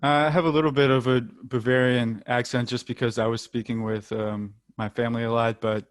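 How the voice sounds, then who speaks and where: A man's voice: medium pitch, little pitch movement, fairly quick, clear, resonant tone, no echo. A young American man, a football player, chatting casually in an interview with frequent ums and the odd light laugh. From United States.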